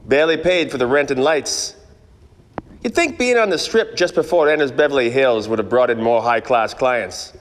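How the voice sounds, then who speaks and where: A man's voice: mid-range voice, normal speed, lightly acted. Male actor as jaded noir gumshoe, dry and world-weary radio-play narrator, mid-range voice in a ringing hall. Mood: weary, wry. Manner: wry, world-weary, deadpan. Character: weary, cynical male hard-boiled 1940s private eye. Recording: echoey hall, background noise.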